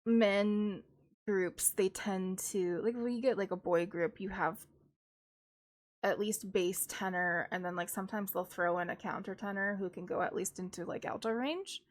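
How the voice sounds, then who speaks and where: A woman's voice: medium pitch, fairly even intonation, moderate pace. Young woman, classical singer streaming, mid-range voice, rambling analytical reaction chat, a touch nasal. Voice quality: slightly nasal at times. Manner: thinks aloud, opinionated, analytical about singing. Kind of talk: casual live-stream reaction commentary.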